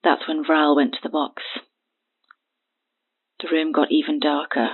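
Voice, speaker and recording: An actress playing a frightened, paranoid woman recounting a horror in halting speech over a phone in an audio drama. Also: low voice, level tone, husky, exaggerated voice. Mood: haunted, tense. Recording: thin, phone-like band-limited sound.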